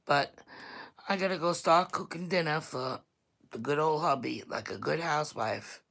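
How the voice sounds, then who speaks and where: A woman speaking in a very low, deep voice with a thick Staten Island New York accent. She chats bluntly and loosely to her viewers as if on a livestream, laughing now and then. The sound is a plain home computer mic. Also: moderate pace, gravelly, fairly bright tone, slightly rough. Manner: chatty and blunt, laughs often. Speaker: YouTube vlogger. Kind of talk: casual livestream chat to viewers.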